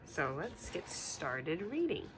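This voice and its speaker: A woman teacher reading a picture book aloud to young children, instructive and deliberate, stopping to put questions to them. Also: on the low side, big pitch swings, noisy background.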